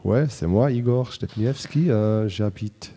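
Gravelly, dark-voiced man, hesitant. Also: fairly low pitch, slow pace. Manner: hesitant, short phrases. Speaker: musician. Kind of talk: casual interview answers.

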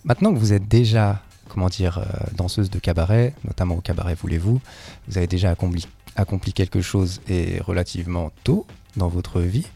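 Deep gravelly man asking questions. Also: fairly quick, mellow. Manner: hesitant, searching for words. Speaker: interviewer. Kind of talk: relaxed interview questions.

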